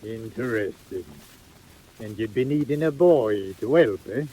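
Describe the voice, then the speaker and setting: An actor playing a self-important, impatient British workhouse master, curt and blustering, in a 1940s audio drama. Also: male voice, on the low side, animated, a little slow, mellow, breathy edge, poor audio. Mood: officious. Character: pompous, gruff, impatient middle-aged male workhouse master.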